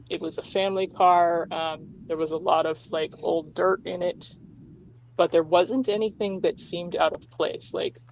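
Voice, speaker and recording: A woman game master narrating a tabletop roleplaying game in a halting, informal, explanatory way. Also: lowish voice, poor audio.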